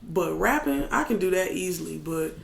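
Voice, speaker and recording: A man with a raspy, gravelly voice that sits fairly high for a man. He talks in a loose, candid way, as a podcast guest chatting about his craft. The sound carries an echoey room around it. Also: moderate pace. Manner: relaxed, candid, loose with filler words. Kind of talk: casual chat.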